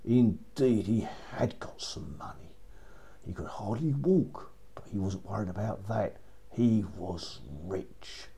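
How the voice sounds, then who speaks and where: A man's voice: fairly low pitch, slow, vocal fry, warm tone, a little hiss or hum. A man narrating a fairy tale for children as a storyteller, repeating phrases for effect.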